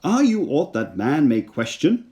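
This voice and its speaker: A man's voice: fairly high pitch, very animated, a little slow, darkish tone, pitched above the performer's own voice. A man reading as a cautious general in a storybook, putting wondering questions in old-fashioned verse. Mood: wary. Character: cautious Scottish general Banquo, questioning, amazed.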